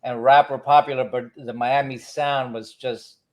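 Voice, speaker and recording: A middle-aged man with a medium-pitched voice reminisces as a DJ guest in an interview. He is relaxed and anecdotal, halting now and then as he recalls things. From born in Cuba, moved to the United States as a child. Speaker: DJ, podcast guest.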